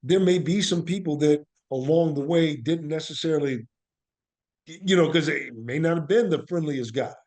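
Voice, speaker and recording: Higher-pitched American man telling stories. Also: normal speed, a little mellow. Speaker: guest, actor and playwright. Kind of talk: animated conversational storytelling.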